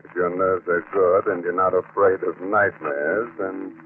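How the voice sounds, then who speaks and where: An actor playing an eerie, mock-cordial horror host in theatrical 1940s radio narration, on a muffled old recording. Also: male voice, sits low, steady tone, measured pace, slight background noise. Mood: ominous. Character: eerie genial horror host welcoming listeners.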